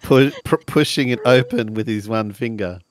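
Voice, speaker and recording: A man's voice: mid-range voice, normal speed, a crowd in the background. A man improvising casually as a player in a tabletop roleplaying game.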